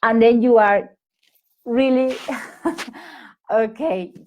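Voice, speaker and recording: A woman's voice: fairly high pitch, steady tone, very slow, lo-fi sound. A woman in her thirties from Peru with a Peruvian Spanish accent, chatting casually on a home live stream to English teachers, full of fillers and restarts. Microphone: laptop or phone mic on a live stream.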